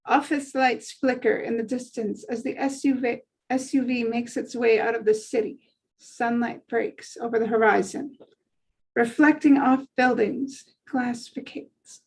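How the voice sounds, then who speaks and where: A woman's voice: a little high, fairly even intonation, a little slow, darkish tone, husky, low-quality recording. A woman reading screenplay scene descriptions aloud as a narrator at a table read.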